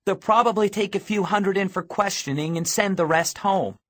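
A ruthless young woman on the run, as a male audiobook narrator puts her on. The pitch sits well up and the delivery is clipped and commanding, with a cunning, sardonic edge. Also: moderate pace, fairly bright tone. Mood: sardonic, resigned.

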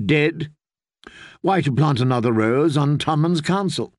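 A man's voice: fairly high pitch, lively intonation, a little slow, dry recording, studio quality. A man voicing a cold, scornful queen who interrogates and mocks in an audiobook. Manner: cutting, mocking, interrogating. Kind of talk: audiobook character voice.

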